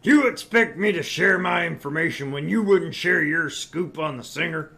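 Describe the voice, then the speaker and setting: A man's voice: on the high side, moderate pace, gravelly, bright, forceful tone. A man voicing a brash, crude, sleazy middle-aged tabloid editor in a visual novel read-through, with a pompous, pushy manner. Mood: gruff, matter-of-fact.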